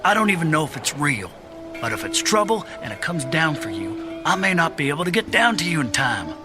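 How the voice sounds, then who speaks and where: Grave, protective man over loud music. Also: fairly high pitch, sing-song, a little fast. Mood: grave, urgent. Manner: earnest, foreboding. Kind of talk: audio drama trailer acting.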